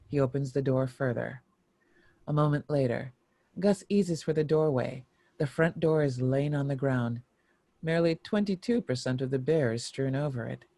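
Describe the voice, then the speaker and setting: A woman narrates stage directions from a screenplay. Her voice is dark and relaxed, recorded close and dry. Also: very deep voice, no room sound.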